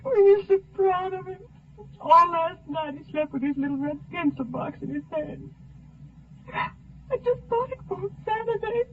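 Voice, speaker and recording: A woman's voice: very high-pitched, lively intonation, unhurried. An actress playing a dazed, grief-stricken mother in a 1950s radio melodrama, plaintive and tearful. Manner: distraught, plaintive. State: tearful, crying. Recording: narrow tinny 1950 radio recording.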